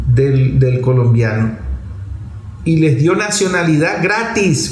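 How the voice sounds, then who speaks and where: Male political commentator, Venezuelan accent, slightly high voice, emphatic phrasing, unscripted, in a roomy echoing recording. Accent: Venezuelan Spanish. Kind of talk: political monologue, spoken off the cuff. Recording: echoey room with faint background noise.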